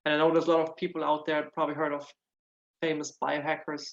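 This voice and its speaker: A man's voice: fairly high pitch, monotone, fast talker, vocal fry. German-accented man on a dull call line. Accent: German with some Irish. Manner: earnest, repeats words while searching for them. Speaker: guest, nutritional therapist. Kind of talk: casual interview answers.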